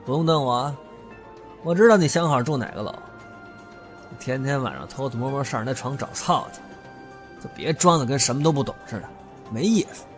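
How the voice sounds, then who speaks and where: A man's voice: medium pitch, expressive, brisk, long pauses, close to an everyday voice. A man playing a menacing, vulgar, scarred older brother with a grudge in an audio drama, sneering and swearing as he taunts. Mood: sneering threat. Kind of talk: Chinese audio drama acting. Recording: music behind the voice.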